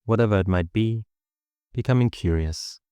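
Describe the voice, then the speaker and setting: A man with a low, clean and resonant voice guides a meditation. He gives calm, measured instructions and leaves space between phrases. The sound is dry, with very little room in it. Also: clean tone, no echo. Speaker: meditation teacher.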